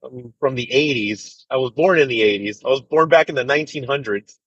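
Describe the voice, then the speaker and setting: A man in his thirties chatting casually with viewers on a livestream, rambling and relaxed with lots of fillers. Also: medium pitch, brisk, husky, no room sound, lo-fi sound. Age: 30s. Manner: laid-back, rambling, full of fillers and "dude". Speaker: truck driver livestreamer. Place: inside a truck cab.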